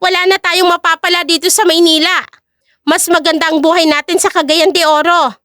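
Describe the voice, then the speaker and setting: A woman's voice: very high-pitched, level tone, brisk, bright, forceful tone, no room sound, clean studio sound, pitched above the performer's own voice. A woman playing a spiteful, scheming mother in an audio drama, speaking in an emphatic, scornful way. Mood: resolute. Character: spiteful, scheming mother who curses her stepdaughter.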